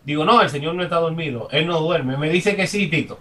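A man's voice: on the high side, brisk, a bit bright. A man with a Dominican accent giving an impromptu religious testimony on a group call, emphatic and repetitive, often restarting his sentences. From Dominican Republic. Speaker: congregant giving comments. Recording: distant from the microphone.

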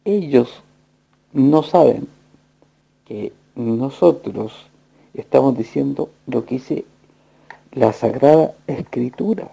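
A man explains scripture in a slow, extremely gravelly voice. His tone is very dark. He speaks at a measured, deliberate pace. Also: medium pitch.

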